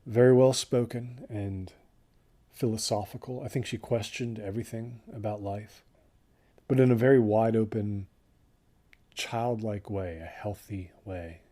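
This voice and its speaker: A man acting as a young male hiker giving an interview in an audio drama. His voice is lowish and warm, with a soft dark tone. He speaks casually and reflectively, pausing as he remembers. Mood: reflective, subdued. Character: young male student hiker recalling an ill-fated trip. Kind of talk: naturalistic documentary interview acting.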